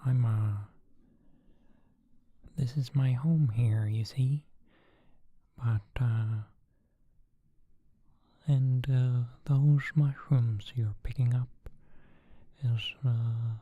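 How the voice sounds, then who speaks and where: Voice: medium pitch, steady tone, warm tone. Narrator whispering as Loyoi, a hesitant frog creature. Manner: halting, full of "uh" and "well". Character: child-sized muscly frog creature, male, peaceful and hesitant. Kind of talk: whispered ASMR storytelling.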